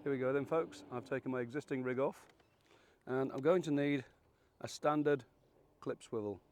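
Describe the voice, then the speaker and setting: A man around seventy, croaky and hoarse from illness, hosting a fishing channel and walking through a rig in a chatty, folksy, self-deprecating way. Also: mid-range voice, normal speed, darkish tone, slight background noise. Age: 70s. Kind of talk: casual how-to demonstration.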